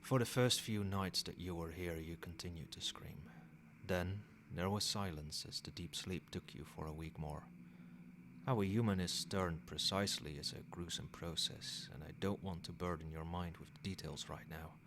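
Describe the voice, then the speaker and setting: Man voicing a deep, soft-spoken ancient vampire. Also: noisy background. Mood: grave, confessional. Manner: soft-spoken, measured, formal phrasing. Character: centuries-old male vampire sire, soothing, possessive caretaker. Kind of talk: ASMR roleplay.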